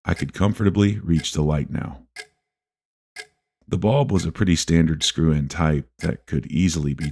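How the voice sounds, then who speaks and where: A man narrating a scripted first-person horror story in a measured, deliberate way with dramatic pauses. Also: rumbling low, warm tone.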